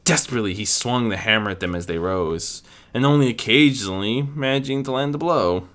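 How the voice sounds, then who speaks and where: Bright-voiced man narrating a fantasy story. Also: medium pitch, expressive, brisk. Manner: amateur reading with occasional stumbles and self-corrections. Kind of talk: scripted dramatic narration of fan fiction.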